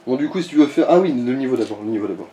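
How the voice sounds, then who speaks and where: A man's voice: mid-range voice, lively intonation, racing, warm tone. A man chatting casually as he advises on a video game, crude and joking at times, often explaining things. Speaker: co-commentator advising the player. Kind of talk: casual gaming let's play chat. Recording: roomy, distant mic, faint noise.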